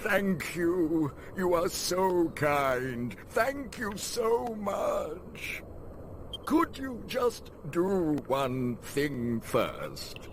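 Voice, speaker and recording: A man's voice: high-pitched, big pitch swings, slight background noise, heavily acted. An actor playing a pleading, devout old male prisoner in a video game, speaking in a formal, theatrical way. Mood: meek, grateful. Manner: theatrical, formal, drawn-out delivery. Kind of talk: dramatic video game cutscene acting.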